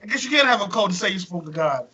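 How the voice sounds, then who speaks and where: A hoarse man co-hosts a casual chat, cracking jokes and cutting in often. His voice sits high and bright, and it moves around a lot. The recording sounds dull and lo-fi. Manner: joking, animated, quick to interject. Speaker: co-host.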